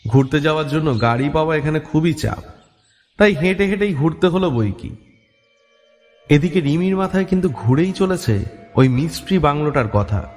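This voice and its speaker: Male horror story narrator: slightly high voice, scripted dramatic storytelling, reverberant sound. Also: a little mellow. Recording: long reverb.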